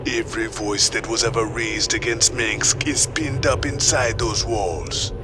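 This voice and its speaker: Actor as slow, low, scheming operative. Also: male voice, music in the background, natural voice. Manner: hushed, slow, conspiratorial.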